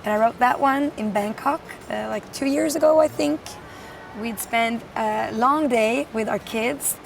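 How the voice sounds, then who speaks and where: A woman musician with a naturally hoarse voice, chatting in a friendly casual interview outdoors. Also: a little high, unhurried. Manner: friendly, laughs easily. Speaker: musician guest. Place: outdoors at a festival. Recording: distant mic, faint street noise.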